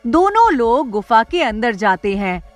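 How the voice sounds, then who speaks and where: Slow-paced woman storyteller over music. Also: fairly high pitch, sing-song, no echo. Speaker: story narrator. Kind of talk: scripted storytelling narration. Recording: music behind the voice.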